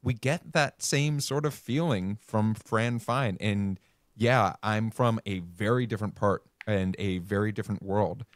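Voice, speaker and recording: A man's voice: fairly low pitch, measured pace, no room sound, studio quality. A man co-hosting a podcast in casual, conversational discussion of television. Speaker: podcast co-host.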